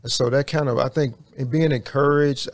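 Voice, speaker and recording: A man from Houston, Texas, guesting on a podcast and telling about his work in a hesitant way, with frequent pauses and restarts. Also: medium pitch, steady tone, no echo. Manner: hesitant, many fillers and restarts. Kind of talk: interview answers.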